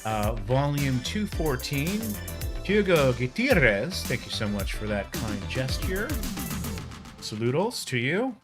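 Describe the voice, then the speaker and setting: A man in his forties hosts a relaxed live stream, chatty and joking as he reacts and thanks viewers for tips. His middle-pitched voice swings about with a lively lilt, and soft music plays faintly behind him. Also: slow. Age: 40s. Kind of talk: casual live-stream commentary.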